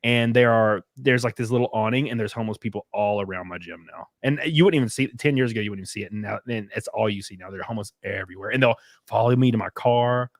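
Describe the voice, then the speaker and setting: A man's voice: on the low side, fast talker, dry recording. A man hosting a casual podcast chat, rambling with lots of fillers. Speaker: streamer host.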